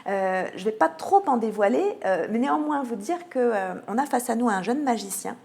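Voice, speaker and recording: A woman's voice: on the high side, lively intonation, moderate pace, a little mellow. Woman explaining things with frequent hesitations. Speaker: theatre cultural programming manager, radio guest. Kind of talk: interview answers, presenting a season programme.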